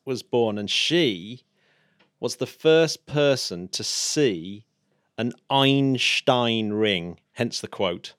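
A man hosting a space podcast in a casual, chatty way, laughing often and throwing in quick asides. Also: mid-range voice, dry recording, clean studio sound. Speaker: podcast host. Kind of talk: casual chat.

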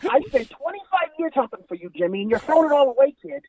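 A man's voice: very high-pitched, sing-song, fast, a little mellow, low-quality recording. A man voicing a pushy older male talent agent who calls in to nag and scold, bossy and repeating the listener's name constantly. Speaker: caller. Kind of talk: improvised comedy bit.

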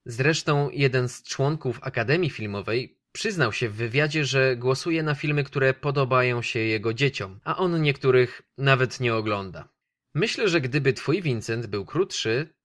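A man's voice: medium pitch, lively intonation, normal speed, a bit bright. Conversational male radio film reviewer. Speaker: radio show host presenting films.

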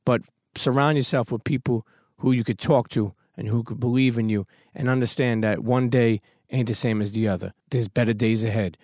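A man's voice: medium pitch, fairly even intonation, moderate pace, few pauses, a little mellow, no echo, studio quality. A man hosting a podcast, giving an emphatic motivational talk full of casual swearing before welcoming his guest. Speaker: podcast host.

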